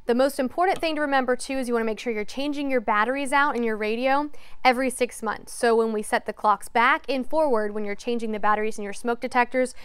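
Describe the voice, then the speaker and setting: A young woman with a very smooth, clean voice pitched a little high walks through a device demonstration. She explains each step plainly, in the instructional way of a TV weather presenter. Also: a bit bright, no echo, clean studio sound. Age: young adult. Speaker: TV meteorologist. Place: TV weather studio.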